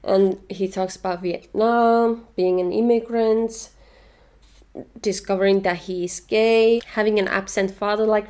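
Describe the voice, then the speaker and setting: A young woman from Finland, with Finnish as her first language, talks through her reading in an easy, chatty vlog manner. She comes across as eager and opinionated, with a voice of ordinary middle pitch for a woman. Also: a little mellow. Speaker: book vlogger. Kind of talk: casual book review chat.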